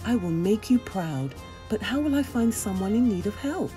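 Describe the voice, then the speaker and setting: A woman voicing an eager, brave young squire boy in a storybook, excited and full of wonder. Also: mid-range voice, normal speed, soft background music, voice pushed up, lightly acted.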